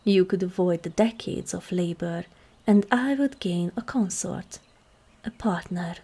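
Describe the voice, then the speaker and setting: Husky, slow demon queen, acted by a woman. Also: fairly low pitch. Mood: solemn, persuasive. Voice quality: husky, breathy, creaky. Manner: measured, commanding, deliberate, often breaking into whispery softness. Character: regal, composed, seductive ancient demon queen. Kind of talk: ASMR roleplay, scripted monologue to the listener.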